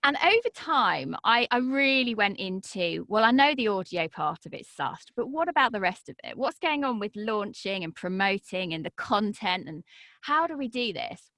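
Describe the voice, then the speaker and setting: An animated woman speaks as an interview guest, her pitch swinging high then dropping back down. She sounds natural and unhurried in her storytelling. The recording is dry and close to the mic, with no room sound. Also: dry recording. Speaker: podcast guest, voice and podcast coach. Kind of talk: energetic interview talk.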